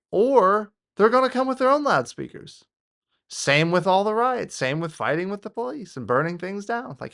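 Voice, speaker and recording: An American man giving off-the-cuff political commentary in a halting, repetitive, exasperated way. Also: high voice, gravelly, fairly bright tone.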